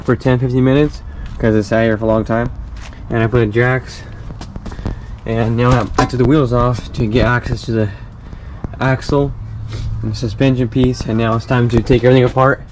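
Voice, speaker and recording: A man vlogging while rebuilding his car, his voice raspy from feeling unwell, chatty and encouraging with halting phrasing. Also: mid-range voice, noisy background. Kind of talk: casual talk while working on a car. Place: outdoors and in a garage by the car.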